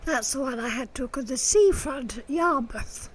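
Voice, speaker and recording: A woman's voice: high-pitched, lively intonation, moderate pace. An actress playing a brassy, haughty middle-aged saleswoman in a radio sitcom, affected and easily affronted. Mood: chatty, proud.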